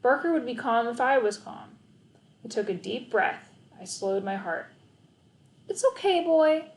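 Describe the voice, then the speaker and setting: A woman's voice: fairly high pitch, normal speed. Woman reading a story aloud, roomy echo. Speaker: read-aloud narrator. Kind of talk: expressive children's audiobook narration, first person.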